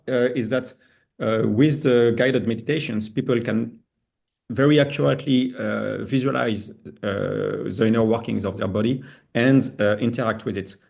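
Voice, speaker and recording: A man presenting a webinar, explaining things informally in a halting, step-by-step way. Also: lowish voice, vocal fry, a little mellow.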